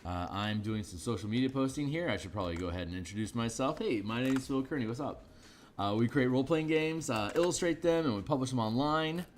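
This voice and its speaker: A middle-aged man with a deep, tired voice, rambling casually with fillers while he streams his illustration work. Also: slight background noise. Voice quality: deep, low voice. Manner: rambling, with frequent fillers and restarts. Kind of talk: casual live-stream talk while working.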